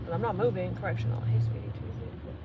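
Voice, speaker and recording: A woman's voice: fairly low pitch, fast talker, narrow, tinny audio. A young woman from England chatting anxiously while driving on a rainy freeway, full of fillers. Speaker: vlogger. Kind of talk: casual vlog while driving. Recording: loud road and rain noise. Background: road and rain noise.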